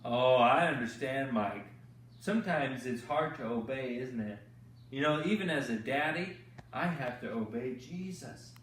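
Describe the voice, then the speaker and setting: A man hosting bedtime Bible stories for children, teaching gently with exaggerated, kid-friendly questions and repeated phrases. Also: on the high side, distant mic. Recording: echoey room, off-mic, faint noise.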